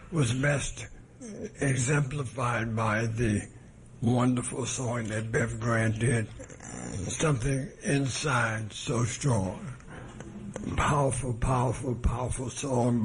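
Older male speaker, hoarse, earnest and halting, addressing a hall; faint noise behind. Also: mid-range voice. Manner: measured, earnest, with halting restarts. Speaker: keynote speaker. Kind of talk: formal speech at an event. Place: meeting hall with an audience.

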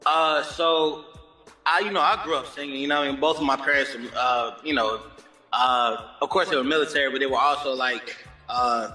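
Man, singer guest, laid-back chat, bright tone, long reverb. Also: mid-range voice, fairly even intonation, normal speed, faint music behind. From Germany. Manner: laid-back, peppers talk with "you know what I'm saying". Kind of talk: casual interview chat.

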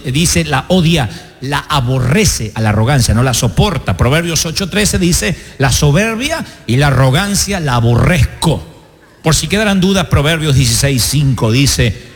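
A man with an Argentine accent, a pastor preaching a sermon with self-mocking stories and slow, emphatic stresses on key words. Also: on the high side, in a large hall. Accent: Argentine Spanish. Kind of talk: sermon with humorous anecdotes.

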